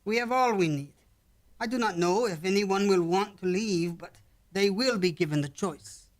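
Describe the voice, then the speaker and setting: A performer playing a calm, dignified villager of an alien cavern people in a radio drama, speaking with formal, measured phrasing. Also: medium pitch, lightly acted. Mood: earnest, measured.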